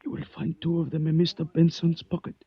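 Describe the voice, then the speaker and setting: An actor playing a courteous, philosophical Chinese man in a 1940s radio drama, speaking formally, solemnly and haltingly. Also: on the high side, monotone, slightly rough, dry recording. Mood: weak, insistent. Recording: muffled old radio recording.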